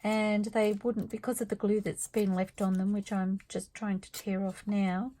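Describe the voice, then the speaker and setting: A woman losing her voice, talking quietly and thinking aloud as she works on a craft project in her studio. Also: medium pitch, flat delivery, normal speed. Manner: quiet, murmured asides to herself while working. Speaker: craft vlogger. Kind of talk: soft-spoken craft-along commentary, thinking aloud.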